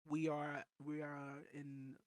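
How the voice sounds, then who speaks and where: High-voiced man chatting as podcast guest. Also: takes it slowly, no room sound, studio quality. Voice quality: high-sounding voice on recordings. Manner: rambling, animated storytelling with restarts. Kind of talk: casual chat.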